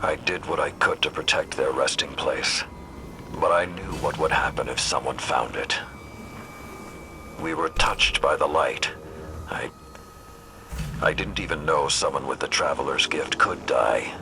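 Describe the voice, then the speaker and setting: An actor playing a solemn, haunted old veteran warlord in a video game, speaking gravely and deliberately, at times breathy. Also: male voice, a bass voice, noisy background. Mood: sorrowful, resolute. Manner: grave, slow, deliberate phrasing. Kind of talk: cinematic video game voice acting.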